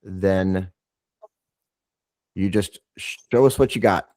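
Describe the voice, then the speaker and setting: A man hosting a business session over a video call, guiding the talk in a relaxed way. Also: low-pitched, extremely slow, dry recording, studio quality. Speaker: host.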